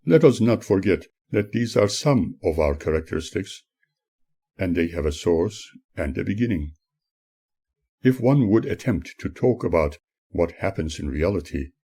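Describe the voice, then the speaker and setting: Deep, husky man reading slowly and solemnly. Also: dark tone. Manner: preachy, earnest, measured phrasing. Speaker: author reading own book. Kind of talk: audiobook narration, solemn spiritual teaching.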